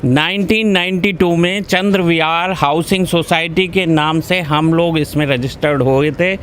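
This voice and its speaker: A man's voice: high voice, a bit bright. A man from a housing society, steadily recounting its history in a factual way. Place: outdoors.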